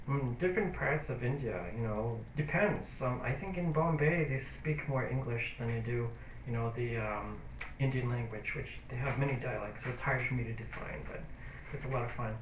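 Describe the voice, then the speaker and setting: A man's voice: mid-range voice, distant mic, a little hiss or hum. A man talking straight to camera in a casual diary vlog. He rambles hesitantly in a sentimental, confiding tone. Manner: rambling, hesitant, full of fillers and restarts. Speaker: YouTube vlogger. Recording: roomy echo.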